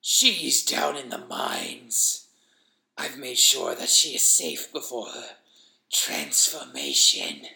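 A man voicing a sinister, vengeful creature in a horror story, with a croaking, wheezing, crackling voice and labored, menacing delivery. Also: mid-range voice, huge pitch swings, sharp tone, roomy sound, exaggerated voice. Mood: threatening. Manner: slow, labored, menacing speech. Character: sinister, vengeful hollow-eyed creature lurking in a chapel.

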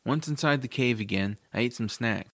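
A man's voice: mid-range voice, moderate pace, dry recording, clean studio sound. A man reading scripted first-person narration for a family fantasy audiobook. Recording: music behind the voice. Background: music.

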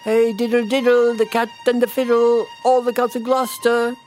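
Man as a high, sighing, plaintive storybook cat. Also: monotone, a little mellow, faint music behind. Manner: sighing, drawn-out plaintive delivery. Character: hungry, vexed, mournful tailor's cat.

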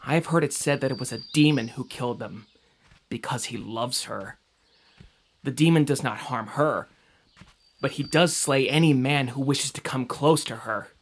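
An actor playing an earnest, excitable young male traveler in an audio drama, expressive and sometimes hesitant. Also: medium pitch, normal speed, natural voice. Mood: alarmed, protesting. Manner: expressive, wide-eyed. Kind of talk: scripted audio drama acting.

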